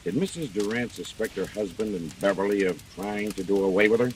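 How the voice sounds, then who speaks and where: Low, probing police captain, actor in radio mystery. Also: male voice, fairly quick, slight background noise, natural voice. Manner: clipped, businesslike questioning. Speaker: police investigator. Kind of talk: old-time radio mystery acting.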